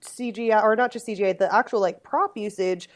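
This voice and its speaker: Podcast guest, woman from Massachusetts, self-mocking humor, mid pitch, conversational. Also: fairly quick. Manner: self-deprecating, jokey. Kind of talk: casual chat.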